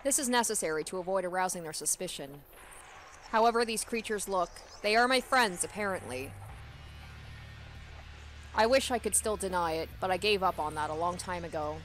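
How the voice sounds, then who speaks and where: A woman's voice: fairly low pitch, normal speed, a bit bright, a little hiss or hum. A woman hosting a let's play, reading a visual novel aloud and adding casual commentary. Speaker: let's play host and narrator.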